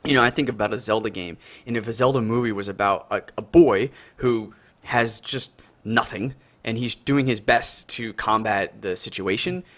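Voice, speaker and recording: A man's voice: fairly low pitch, normal speed, a bit bright. A North American man in casual analytical podcast discussion, explaining his ideas in a thoughtful way. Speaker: podcast co-host.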